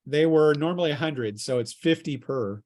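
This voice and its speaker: A man acting as game master in casual tabletop role-playing talk, thinking aloud in a halting way. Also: on the high side, a little mellow.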